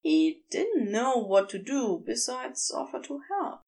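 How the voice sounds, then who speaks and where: A woman's voice: fairly high pitch, big pitch swings, takes it slowly, creaky, a little mellow. A woman narrating scripted fiction expressively, as for an audiobook.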